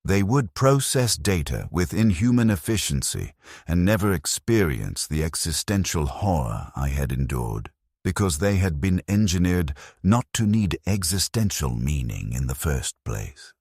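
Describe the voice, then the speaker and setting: A man narrating a scripted first-person story in a somber, measured, bleak way into a close mic. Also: low-pitched, very animated, a little mellow, no room sound.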